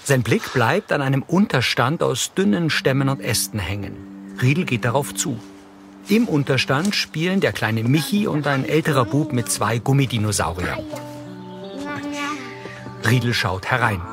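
A man's voice: mid-range voice, lively intonation, normal speed. A man reading scripted scene descriptions in a neutral, factual manner. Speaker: audio description narrator. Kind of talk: scripted narration. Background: music.

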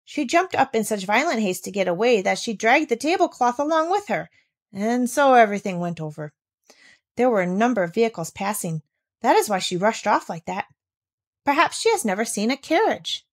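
A woman reading a storybook as a gentle girl who explains things reasonably in a friend's defense. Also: a little high, very animated, normal speed. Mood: calm, pleading.

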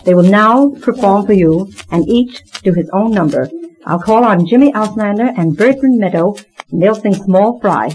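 A woman's voice: mid-range voice, moderate pace, darkish tone, low-quality recording. A woman speaking as a teacher, formally announcing performers at a children's recital, on an old 1940s home-cut disc. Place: private home.

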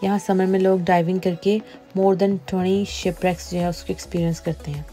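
A woman vlogger calmly narrating a boat tour, explaining the sights as she goes. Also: fairly low pitch, moderate pace, faint music behind. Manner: calm explanatory voice-over, mixing in English phrases. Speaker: travel vlogger. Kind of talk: travel vlog narration. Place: outdoors on a tour boat on a lake.

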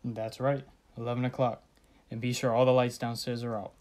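A man's voice: mid-range voice, little pitch movement, fairly quick, darkish tone, lightly acted. A man playing a cold, businesslike middleman arranging a crime by phone in a radio thriller. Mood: cold, careful. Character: cold, orderly middleman planning a murder by phone. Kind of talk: old-time radio thriller acting.

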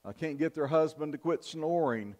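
An older man preaching a sermon in a church, in a measured, earnest style with emphatic pauses. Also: fairly high pitch, animated, darkish tone. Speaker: pastor. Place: church sanctuary, at the pulpit. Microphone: fixed camera from the back of the church.

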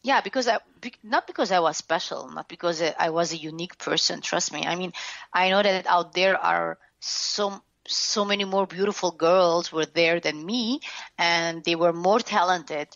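Frank Lebanese-accented woman, gravelly. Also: lowish voice, normal speed, fairly bright tone, no echo, muffled recording. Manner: frank, reflective, lots of fillers and restarts. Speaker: guest, author and former TV host. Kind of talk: candid interview storytelling.

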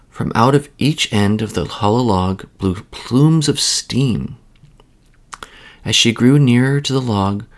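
A man narrating a children's bedtime story in a measured, expressive read-aloud style. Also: on the low side, gravelly.

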